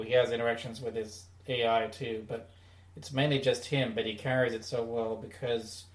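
A man co-hosting a podcast in casual, rambling, enthusiastic conversation. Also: medium pitch. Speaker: podcast co-host. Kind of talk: casual discussion of audio dramas. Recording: echoey room, distant from mic.

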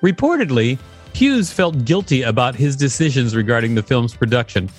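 A man's voice: mid-range voice, animated, normal speed, husky, faint music behind. A man co-hosting a podcast, reading an article aloud and joking between passages. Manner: joking, laughs often. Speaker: podcast co-host. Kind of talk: reading aloud and casual chat.

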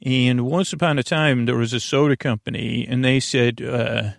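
A middle-aged American man with a gravelly voice hosting a sleep podcast, rambling haltingly, repeating himself and trailing off mid-thought. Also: mid-range voice, fairly even intonation, no room sound. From United States. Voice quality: gravelly, creaky. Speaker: podcast host.

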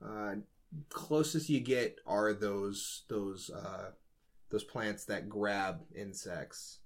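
A man's voice: lowish voice, extremely slow. A man co-hosting a casual comedic podcast, talking in a playful, rambling way. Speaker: podcast co-host.

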